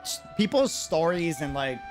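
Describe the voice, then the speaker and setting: Animated high-pitched American man streaming. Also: moderate pace, music in the background. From United States. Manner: slangy, reactive, chatty. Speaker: live streamer. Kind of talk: casual game livestream commentary.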